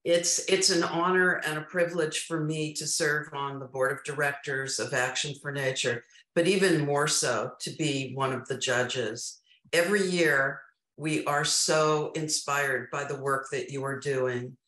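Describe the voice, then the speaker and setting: A woman's voice: sits low, fairly even intonation, measured pace, a bit bright. A woman, a board member and judge, giving formal, admiring closing remarks over a video call. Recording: roomy echoey video call audio.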